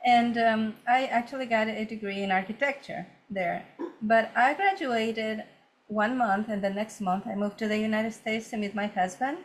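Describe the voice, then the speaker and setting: A woman's voice: on the high side, moderate pace. A woman from Ecuador, a feng shui consultant, telling her story earnestly in a conversational interview with many fillers and restarts.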